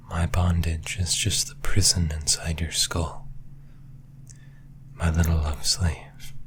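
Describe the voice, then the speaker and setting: A possessive sleep paralysis demon played by a man whispers in an extremely low, breathy voice over audible background noise. The delivery is slow and menacing, teasing the listener like an ASMR roleplay villain.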